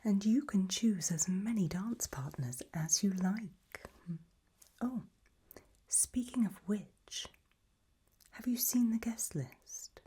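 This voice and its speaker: A woman playing an elegant, macabre aristocratic lady in a whispered ASMR roleplay, breathy, languid and serenely gracious. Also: mid-range voice, expressive, warm tone, exaggerated voice. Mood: gracious, darkly pleased. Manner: slow, languid, genteel.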